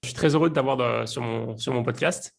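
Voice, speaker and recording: A man's voice: mid-range voice, fairly quick, creaky. A man hosting a podcast, speaking as a narrator and interviewer. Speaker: podcast host.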